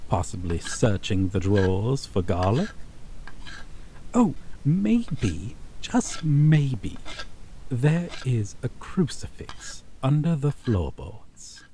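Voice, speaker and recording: A man voicing a possessive, menacing male vampire in an ASMR roleplay, talking low and teasing and dropping to whispers. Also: warm tone, slight background noise, poor audio.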